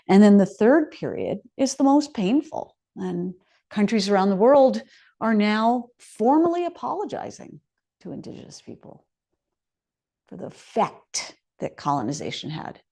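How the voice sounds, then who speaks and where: A woman's voice: medium pitch, dark tone. A woman chef giving a public talk, telling it like a story and asking the audience rhetorical questions. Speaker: chef and speaker. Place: hall of a residential contemplative community.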